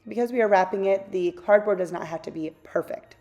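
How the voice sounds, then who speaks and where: A woman hosting a craft channel, talking through a tutorial in a casual, rambling voice-over; her voice is a little raspy from losing it. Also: mid-range voice, fairly quick, mellow, soft background music. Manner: chatty, rambling, self-correcting asides.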